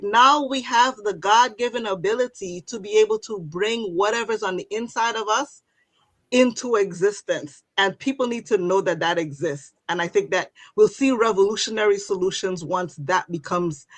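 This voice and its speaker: A woman's voice: medium pitch, fairly bright tone, no room sound. A woman from Guyana, speaking as a panelist over a video call. She talks in a reflective, hopeful way with many fillers. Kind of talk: panel discussion answer.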